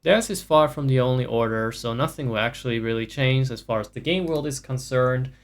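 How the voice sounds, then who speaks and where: A man's voice: medium pitch, a little fast. Profane, ranting male streamer. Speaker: livestreamer playing a video game. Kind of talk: casual live gameplay commentary.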